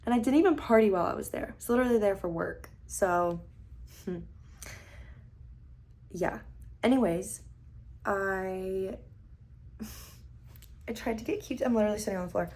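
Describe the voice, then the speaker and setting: A young woman with a slightly hoarse voice after being sick, chatting casually and rambling with lots of fillers in a beauty vlog filmed on her phone at home. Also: medium pitch, moderate pace, long pauses, a little mellow. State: hoarse, recovering from illness. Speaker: beauty vlogger. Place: at home, sitting on the floor. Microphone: phone camera.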